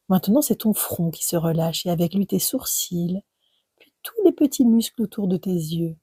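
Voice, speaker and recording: A woman leading a guided relaxation in a soft, breathy voice that often nears a whisper, soothing and unhurried, with gentle pauses between short phrases. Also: fairly low pitch, no echo. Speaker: yoga nidra relaxation guide. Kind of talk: guided meditation narration.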